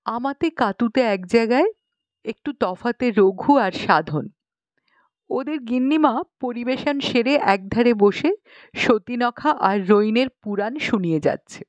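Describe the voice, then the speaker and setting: A woman's voice: a little high, animated, slow, no echo. A woman reading a literary story as an audiobook narrator, in a scripted narration style.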